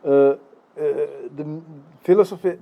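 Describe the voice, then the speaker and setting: A man's voice: fairly high pitch, fairly even intonation, vocal fry, phone-quality sound. A man in his sixties, a native Czech speaker, giving a rambling philosophical monologue with many fillers and restarts. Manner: halting, many fillers and restarts, tag questions.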